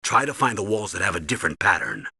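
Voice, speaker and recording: A man's voice: on the low side, lively intonation, fast, bright, forceful tone, breathy edge, no room sound, studio quality, exaggerated voice. A man playing a stern older American military colonel in a video game, giving clipped, authoritative mission briefings. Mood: steady.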